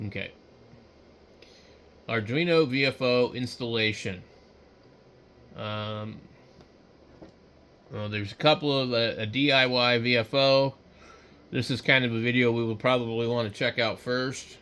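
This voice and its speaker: Slow, halting man thinking aloud. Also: mid-range voice, fairly bright tone. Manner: halting, hesitant, trailing off. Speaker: hobbyist host. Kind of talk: casual unscripted screen-share commentary, thinking aloud.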